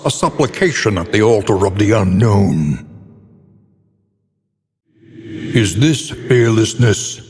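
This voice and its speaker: Slow, grave gothic horror narrator, male. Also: fairly low pitch, animated, darkish tone, in a large hall, faint music behind. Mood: solemn, foreboding. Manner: grave, theatrical, ornate and deliberate delivery. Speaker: game narrator.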